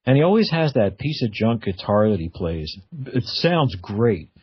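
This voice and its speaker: A middle-aged man hosting a radio interview, chatting casually in a halting, reflective way. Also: lowish voice, sing-song, husky. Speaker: radio show host. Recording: dull, lo-fi radio audio.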